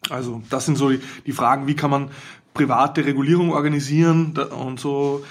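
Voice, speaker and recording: A man's voice: mid-range voice, steady tone, brisk. A man with an Austrian accent, an academic guest explaining his work conversationally in an interview.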